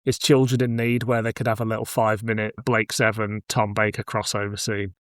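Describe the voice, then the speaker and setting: A man's voice: fairly low pitch, little pitch movement, brisk, no room sound, studio quality. A man hosting a podcast in a casual, conversational way, with a wryly joking manner. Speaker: podcast host. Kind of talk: casual chat.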